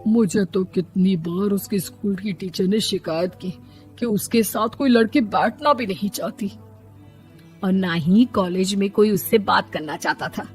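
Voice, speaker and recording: A woman's voice: mid-range voice, unhurried, faint music behind, lightly acted. A woman voicing a worried, frustrated middle-aged mother in a moral story. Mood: troubled, frustrated. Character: worried, embarrassed mother complaining about her daughter.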